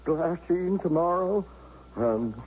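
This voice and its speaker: A man acting a husband who is regaining the use of his arm in a 1970s radio drama, talking haltingly and stammering. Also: fairly high pitch, expressive, darkish tone, breathy edge, full character voice. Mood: grateful. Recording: dull vintage radio recording with faint hiss.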